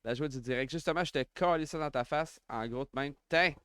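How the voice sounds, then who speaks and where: An excitable man with a Quebec French accent hosts a casual livestream from home, jumping in with exclamations and jokes. His voice is bright and crisp, with a dry, close sound. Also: fairly high pitch, no room sound. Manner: excitable, quick to exclaim and joke. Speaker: livestream host.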